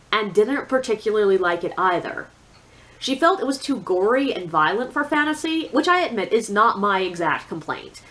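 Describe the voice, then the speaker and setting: A woman's voice: mid-range voice, a bit bright. A woman giving a casual, opinionated book review in a blunt, sardonic, conversational way with emphatic asides.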